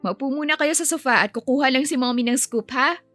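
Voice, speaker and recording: A woman's voice: high-pitched, quick pace, fairly bright tone, no room sound, soft background music, voice pushed up. A woman voicing a feisty, affectionate young wife and mother in an audio story, emphatic and playfully scolding.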